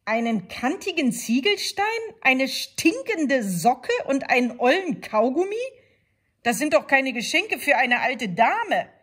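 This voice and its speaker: A woman voicing a sly, hungry male wolf in a storybook, talking high and pushy. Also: expressive, takes it slowly, breathy edge, full character voice. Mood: eager.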